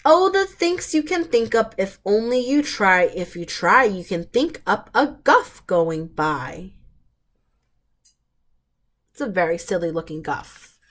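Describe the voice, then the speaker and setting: A woman's voice: medium pitch, big pitch swings, takes it slowly, a bit bright. A woman reading picture books aloud to young children, drawing out words expressively and putting questions to her listeners. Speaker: children's storytime reader.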